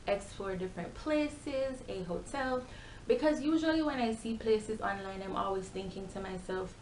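A young adult woman with a Jamaican accent, chatting casually and animatedly to camera as a vlogger. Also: medium pitch. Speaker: YouTube vlogger. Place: small bare-walled room indoors. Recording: echoey room with background hiss.

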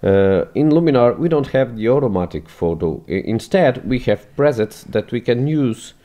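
A man whose first language is Portuguese walks through a photo edit in a screen-recorded tutorial, halting and restarting his phrases as he goes. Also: mid-range voice, lively intonation, dark tone. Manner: hesitant, many restarts, non-native phrasing.

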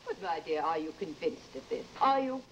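A woman's voice: low-pitched, sing-song, normal speed. An actress playing a concerned, gossipy mother with theatrical emphasis, in an old-time radio horror drama. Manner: theatrical emphasis, addresses others as dear. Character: concerned, gossipy mother of a married daughter, fussing affectionately. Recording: dull old radio recording with hiss.

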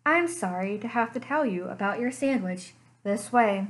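A woman's voice: medium pitch, lively intonation, normal speed, close to an everyday voice. A woman voicing an earnest little dog narrator who confides and apologizes in a storybook voice. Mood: confiding.

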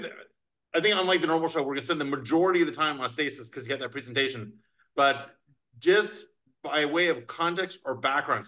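A man in his thirties hosting an interview over a video call from a bright office, chatty, with many restarts and fillers. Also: on the high side. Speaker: host, crypto attorney. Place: bright office with large windows. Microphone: video call webcam.

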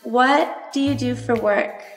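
A woman in her twenties on a blind date, talking softly and hesitantly with lots of fillers. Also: a little high, slow, vocal fry, recorded from a distance. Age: 20s. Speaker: contestant. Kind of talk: casual chat on a blind date. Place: bright studio room. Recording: echoey room with faint music.

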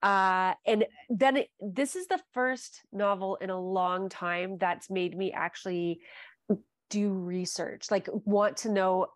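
A woman's voice: mid-range voice, steady tone, takes it slowly, no room sound. Chatty woman host on a dry video call. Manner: chatty and gushing, with frequent fillers. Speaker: podcast host. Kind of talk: casual video-call interview. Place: home living room.

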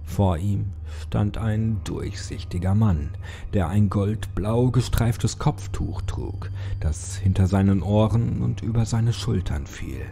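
A man's voice: lowish voice, slow, creaky, dark tone. A man narrating a scripted horror story in a dramatic audiobook style. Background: background music.

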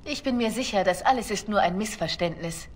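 A woman's voice: mid-range voice, animated, fairly quick, lightly acted. An actress voicing a young female spy in a video game, earnest and questioning, loyal but worried. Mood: uneasy, earnest. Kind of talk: dramatic video game dialogue.

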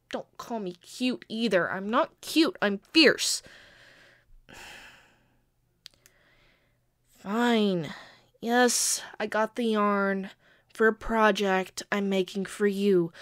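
A quirky young girlfriend as a woman acts her out in an intimate audio roleplay. She speaks slowly at a middling pitch, halting and pleading, with a sheepish, playfully defensive temper. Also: lightly acted. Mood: pouty, earnest. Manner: hesitant, pleading, halting with pauses. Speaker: amateur audio roleplay voice actor.

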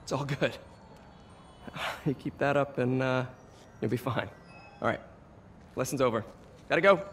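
An American actor voicing a friendly, encouraging young superhero mentor in a video game, with a casual coaching manner. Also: male voice, mid-range voice, measured pace, fairly bright tone, slight background noise, natural voice. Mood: reassuring.

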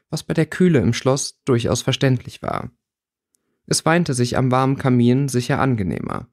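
A man's voice: mid-range voice, dry recording, clean studio sound. A man reading fiction aloud as an audiobook narrator in a scripted storytelling style.

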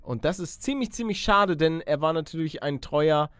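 A young man giving casual, slangy video game commentary as a let's play host, animated and chummy with his viewers. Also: on the high side, moderate pace, clear, resonant tone, no room sound, studio quality.

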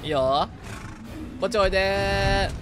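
A man casually chatting as he streams a co-op game, excitably exclaiming and often repeating short phrases. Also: high-pitched, measured pace. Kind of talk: casual co-op game chat with live reactions. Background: loud video game music and sound effects.

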